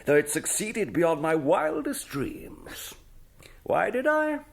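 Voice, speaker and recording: A mischievous television host in a radio drama. His voice is husky and gravelly, with wildly swooping pitch. He is chummy and jovial in an old-boy way. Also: very animated, takes it slowly, exaggerated voice. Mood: gleeful, confiding. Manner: chummy, upper-class old-boy slang. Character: mischievous, jovial English TV host, gleefully scheming. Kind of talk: British radio drama acting.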